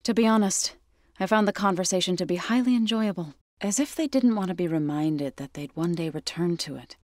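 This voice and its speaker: Low-pitched, earnest warrior woman, played by an actress. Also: expressive, a little fast, darkish tone, no echo, lightly acted. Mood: earnest, steady. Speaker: video game character. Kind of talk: scripted video game dialogue.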